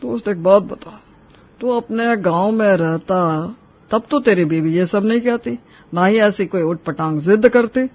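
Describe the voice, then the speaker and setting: Guy playing a young office worker in an audio story, high voice, chatty persuading talk, kinda muffled lofi. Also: unhurried. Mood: advising. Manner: colloquial, persuading, placating. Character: young office worker, weary husband or advising friend. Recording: muffled, dull lo-fi sound.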